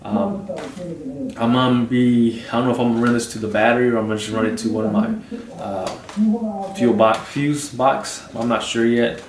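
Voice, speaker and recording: A man hosting a DIY video in casual, off-the-cuff speech, haltingly repeating and restarting phrases as he explains. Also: medium pitch. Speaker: DIY vlog host. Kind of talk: casual off-the-cuff how-to talk. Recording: roomy, distant mic, clear hum noise.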